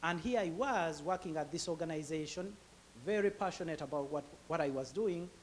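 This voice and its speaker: A man's voice: a little high, a little fast, slight background noise. A man with a Ugandan accent telling his personal story in a hesitant way, full of filler sounds and restarts. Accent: Ugandan English. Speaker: guest speaker.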